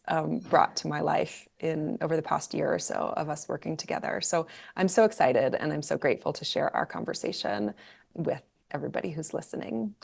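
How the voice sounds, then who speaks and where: Low gravelly woman podcast host. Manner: gushing, quick run-on phrasing. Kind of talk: warm interview intro and questions.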